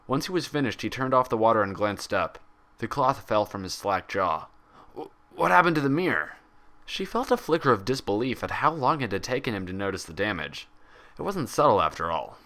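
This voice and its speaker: A man narrates a story expressively from a script. His voice sits fairly low, with a bright, forceful tone that carries the prose. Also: animated, brisk. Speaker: fan fiction reader.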